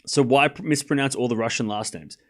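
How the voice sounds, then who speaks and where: A man's voice: mid-range voice, brisk. Australian man chatting on a live stream. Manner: relaxed and rambling, reads chat aloud and answers it, swears casually. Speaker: streamer doing live tennis commentary. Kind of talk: casual live-stream chat and play-by-play.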